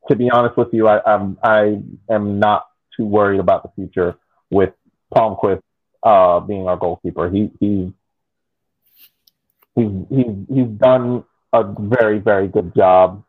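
A man's voice: fairly low pitch, steady tone. American guy calling in on a tinny line, stops and restarts a lot, laid-back podcast chat. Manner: halting, restarts often, laughs easily. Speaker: podcast co-host. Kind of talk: casual sports chat.

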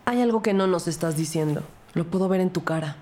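A sceptical, probing officer , acted by a woman who narrates a crime audio drama. Her voice is a bit low and her delivery direct. Clear ambient and vehicle noise runs behind her. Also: fairly quick, mellow, lightly acted. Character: sharp, sceptical female police officer narrating her case.

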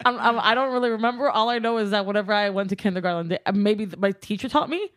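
A woman's voice: mid-range voice, fast talker. Young woman, native Armenian speaker, chatty with many fillers, casual podcast guest. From Glendale, California, US. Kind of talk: casual chat.

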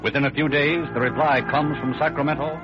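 A man's voice: fairly high pitch, steady tone, a little fast, natural voice. A man narrating an old-time radio crime drama in a serious, scripted manner. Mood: brisk, serious. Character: brisk, solemn male crime-story narrator. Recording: muffled old radio recording. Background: dramatic music.

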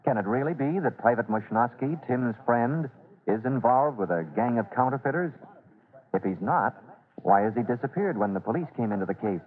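A man's voice: mid-range voice, animated, moderate pace. A man narrating a radio serial as announcer, with formal, dramatic scripted delivery in 1940s style. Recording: tinny, band-limited old radio recording.

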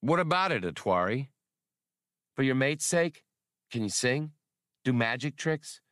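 A man voicing a brash, cocky male starship captain who talks fast and excitably. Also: mid-range voice, no room sound, clean studio sound, pitched above the performer's own voice. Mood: persuasive.

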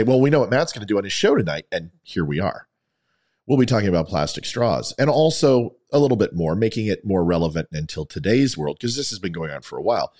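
Male commentary host, lower register, lightly worn and scratchy, emphatic theatrical delivery. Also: a little mellow. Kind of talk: opinion commentary monologue to an audience.

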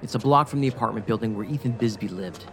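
A man's voice: lowish voice, quick pace, lightly acted. A man playing a stubborn, sardonic federal agent in a science fiction audio drama, speaking dryly and insistently. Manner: dry, insistent, sarcastic. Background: music.

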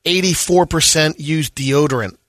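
A man co-hosting a radio show, reading news items in a steady, matter-of-fact way. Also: on the high side, normal speed, no room sound, studio quality.

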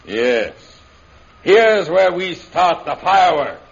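An actor playing a gloating, swaggering warlord villain in 1930s radio style, with a husky voice and mocking manner. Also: male voice, sits high, sing-song, slow, exaggerated voice. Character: gloating male warlord villain plotting to rule China. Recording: muffled, dull old radio recording.

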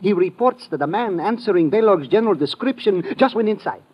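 An actor playing an earnest, excitable French police inspector with a French accent and formal, precise phrasing, in radio drama style. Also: male voice, sits high, a little fast, slightly rough. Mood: businesslike. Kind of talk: 1950s radio drama acting. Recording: muffled old radio recording.

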